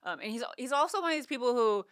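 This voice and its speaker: Bright, crisp woman comedian chatting. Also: fairly high pitch, quick pace, dry recording, studio quality. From United States. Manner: candid, swears freely, animated storytelling. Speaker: comedian and podcast co-host. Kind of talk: casual chat. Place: comedy club, live audience.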